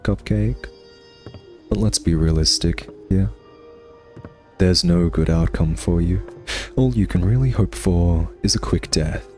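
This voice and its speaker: A smug vampire acted by a man speaks in a low male voice with a sardonic, teasing drawl. He toys with his captive nemesis in a conversational ASMR roleplay, with faint music behind him. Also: a little mellow. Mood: wry resignation.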